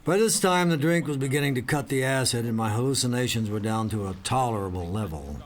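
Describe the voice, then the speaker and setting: A man's voice: mid-range voice, animated, fast talker, natural voice. An actor playing a drug-addled journalist who narrates his misadventures in a wry, florid, sardonic way. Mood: wry, hazy. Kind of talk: scripted first-person narration.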